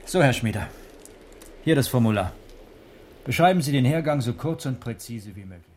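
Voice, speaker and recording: A man's voice: mid-range voice, expressive, normal speed, close to an everyday voice. An actor playing a sober traffic policeman in a radio crime drama, formal, precise and matter-of-fact. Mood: businesslike. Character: sober, methodical male traffic policeman taking a statement. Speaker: police officer taking a report. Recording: noisy 1980s radio play recording.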